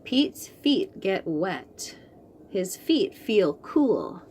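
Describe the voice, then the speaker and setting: Animated woman reading a storybook aloud. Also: medium pitch, slight background noise. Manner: animated, slow and expressive. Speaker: children's book reader. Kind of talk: read-aloud storytime for young kids.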